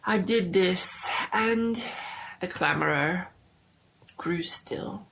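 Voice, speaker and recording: A woman giving a dramatic reading of a horror story, theatrical and deliberate, often dropping to a hush. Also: lowish voice, creaky.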